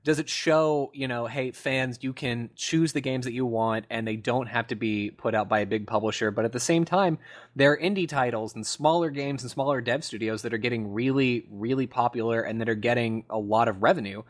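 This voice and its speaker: A man's voice: medium pitch, a little fast. A man co-hosting a casual gaming podcast, talking conversationally and acting out imagined quotes as he goes. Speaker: podcast co-host. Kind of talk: casual video game podcast discussion.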